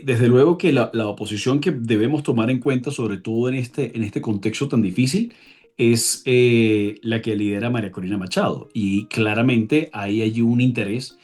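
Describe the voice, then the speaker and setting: A man with a rough, husky voice at a middling pitch. He speaks as an expert analyst in an interview, laying out points emphatically and reworking his phrases as he goes. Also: a little mellow. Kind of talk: spoken political analysis in an interview.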